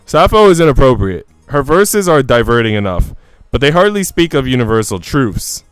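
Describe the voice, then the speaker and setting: A man's voice: mid-range voice, big pitch swings, moderate pace, clear, resonant tone, no echo, soft background music, natural voice. A man voicing a stern governess in her thirties from a visual novel, prim, severe and reproving. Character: stern thirty-three-year-old governess, female, strict and reproving.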